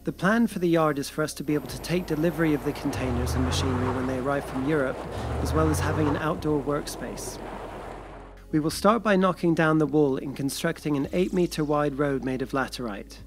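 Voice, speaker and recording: A man reading scripted voice-over narration in a steady, explanatory way. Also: on the high side, fairly quick, over music.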